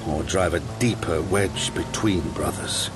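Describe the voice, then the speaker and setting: An actor playing a grave, caring older nobleman and father in a fantasy game, with measured, formal, archaic speech. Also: low voice. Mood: grave, reflective. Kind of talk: scripted fantasy game drama. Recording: game cutscene with music behind.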